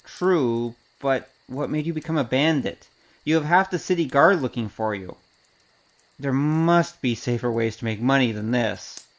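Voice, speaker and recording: A woman's voice: a bass voice, unhurried. A woman acting in an audio drama as a wry, streetwise investigator with a cocky, teasing, casual manner. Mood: surprised, curious.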